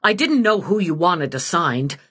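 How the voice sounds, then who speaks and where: A woman voicing a brisk, matter-of-fact young female police detective. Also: fairly low pitch, sing-song, fairly bright tone, slightly rough, exaggerated voice.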